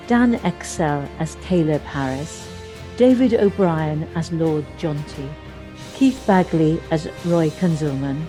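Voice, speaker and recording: A woman presenter reading scripted credits in a measured announcing manner. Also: low voice.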